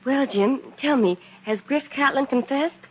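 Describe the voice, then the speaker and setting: High-voiced, inquisitive detective's assistant. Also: female voice, a little slow. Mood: curious. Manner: inquisitive, conversational. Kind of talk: 1940s radio acting. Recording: dull, muffled vintage radio recording.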